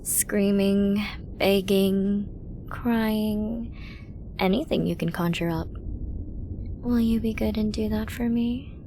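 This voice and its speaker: A woman's voice: medium pitch, steady tone, slow pace, pauses often, noisy background. A woman playing a flirty, dominant, menacing villain in an ASMR roleplay, teasing breathily and often dropping to a whisper. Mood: commanding. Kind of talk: ASMR roleplay audio drama.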